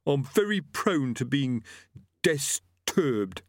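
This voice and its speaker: A dim storybook ghost of a murderer, forever feeling wronged. The voice is raised in pitch, whining and halting, with animated swings. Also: male voice, no room sound, studio quality. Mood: aggrieved. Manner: halting, whining, self-pitying.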